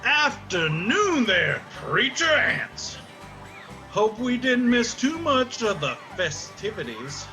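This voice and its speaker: An actor playing a cocky, hard-drinking young Appalachian hillbilly who teases and defies in a radio drama. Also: male voice, extremely high pitch, sing-song, slow, bright, forceful tone, faint music behind, exaggerated voice, low-quality recording. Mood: cocky, teasing.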